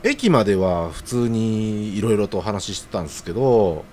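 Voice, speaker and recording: An actor playing an earnest, flustered young office junior in love in an audio drama, eager and hesitant in casual polite speech. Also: male voice, fairly low pitch, very animated, moderate pace, darkish tone. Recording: audible background noise.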